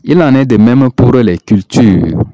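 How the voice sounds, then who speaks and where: A man from Chad reading a prepared statement in a measured, earnest way. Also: medium pitch, lively intonation, warm tone, dry recording, lo-fi sound.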